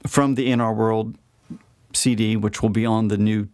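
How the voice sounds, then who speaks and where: A man talking casually and reflectively about his own music as an interview guest, pausing mid-phrase to find his words. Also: lowish voice, fairly even intonation, darkish tone, clean tone, no echo, studio quality.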